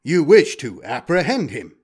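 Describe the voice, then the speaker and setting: A man's voice: on the high side, big pitch swings, a little slow, darkish tone, breathy edge, exaggerated voice. A man voicing a cold, logical war robot in an audiobook, with clipped, formal machine phrasing. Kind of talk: audiobook character voice.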